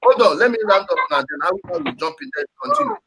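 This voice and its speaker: A man's voice: high voice, huge pitch swings, racing, a bit bright, slight background noise. A man with a Nigerian accent hosting a heated political discussion, speaking emphatically and repeating his phrases. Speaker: host.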